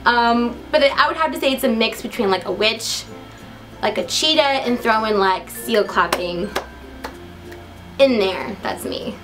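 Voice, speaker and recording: A woman's voice: fairly high pitch, lively intonation, a little slow, over music. A young woman with an American accent chatting casually to camera as a vlogger, in a giggly, upbeat and talkative manner. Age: young adult. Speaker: vlogger introducing herself.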